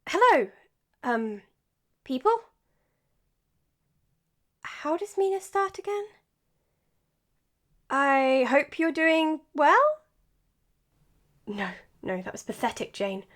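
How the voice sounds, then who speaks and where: A woman's voice: sits high, expressive, slow pace, pauses often, a bit bright, full character voice. A woman playing an earnest, slightly awkward doctor in an audio drama, formal and a little self-conscious. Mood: nervous, self-critical. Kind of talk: audio drama acting as a podcast monologue.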